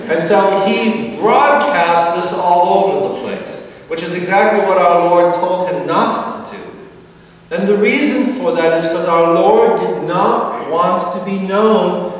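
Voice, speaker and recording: A middle-aged man preaching a sermon from a church pulpit, explaining at an easy pace with slow, emphatic phrases and a dry touch of humor. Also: sits high. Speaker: priest. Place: large church sanctuary, at a pulpit. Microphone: far camera. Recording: echoey church from far off.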